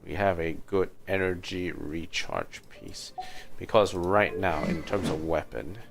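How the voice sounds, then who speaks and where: Low, gravelly man streaming a game. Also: animated, unhurried. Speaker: video game livestreamer. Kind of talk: casual gaming livestream commentary.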